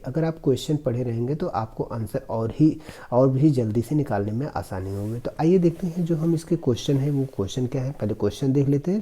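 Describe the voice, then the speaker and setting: A man teaches an exam-prep English lesson, his voice very dark and mellow. He reads each English line slowly and deliberately, then switches to an easy explanatory tone to unpack it. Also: medium pitch, slightly rough.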